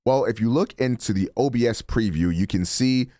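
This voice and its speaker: A man with a deep voice casually walking through his microphone settings as a streamer, relaxed and chatty. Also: normal speed, no room sound, studio quality. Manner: relaxed, chatty, self-correcting. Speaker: streamer explaining mic settings. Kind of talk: casual tutorial walkthrough. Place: home streaming setup. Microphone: studio broadcast mic.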